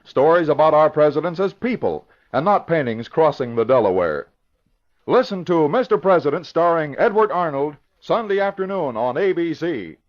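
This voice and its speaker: A man's voice: a little high, sing-song, moderate pace. An American man reading a scripted old-time radio promotion in a conversational, informative way. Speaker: promo announcer. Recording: dull old radio recording.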